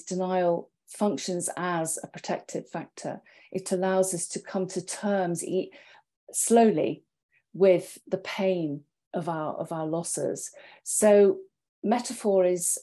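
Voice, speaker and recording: A middle-aged woman speaks as an expert panellist on a video call from her study. Her voice is soft-edged and mellow, a touch low, and she explains ideas in deliberate, measured phrases. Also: fairly even intonation. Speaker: panellist and therapist. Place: home study, indoors. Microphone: earphone headset on video call.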